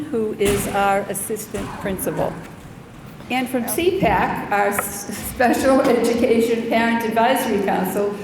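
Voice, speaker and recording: A woman with a medium-pitched voice welcomes a gathered group and makes introductions as a school leader. Her voice rings in a large reverberant room, with faint noise behind it. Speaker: school principal hosting a parent orientation. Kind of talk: welcome speech to an audience with introductions. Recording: echoey hall, noticeable background noise.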